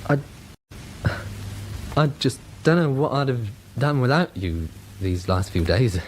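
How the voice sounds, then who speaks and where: An actor playing a soft-hearted, anxious English chip shop man in a radio drama, hesitant and easily rattled. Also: mid-range voice, expressive, unhurried, slight background noise, close to an everyday voice, poor audio. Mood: tender, awkward. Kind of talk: British radio drama acting.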